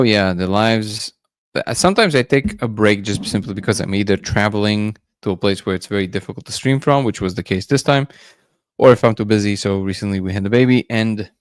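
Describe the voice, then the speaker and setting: A man's voice: fairly low pitch, dry recording. A man hosting a casual livestream, musing loosely and greeting viewers by name. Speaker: livestream host.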